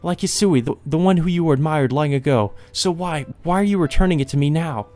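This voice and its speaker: A frantic young maid acted out by a man, pitched well up high. She stammers and cries out in desperate grief, in a visual novel read-through with music behind. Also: brisk, warm tone, natural voice. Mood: anguished pleading. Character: frantic, grief-stricken young maid crying for her sister.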